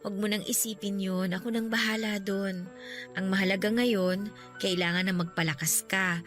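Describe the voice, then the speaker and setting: A woman's voice: medium pitch, fairly even intonation, moderate pace, soft background music, close to an everyday voice. A woman acting as a devoted, guilt-ridden young sweetheart in a radio drama, with an earnest, plaintive delivery. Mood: reassuring, worried.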